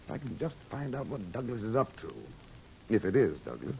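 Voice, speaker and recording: An actor playing a middle-aged magician sleuth in an old radio serial, commanding but kindly, with a measured, thoughtful way of speaking. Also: male voice, lowish voice, very animated, creaky, mellow. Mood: concerned. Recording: muffled, hissy old radio recording.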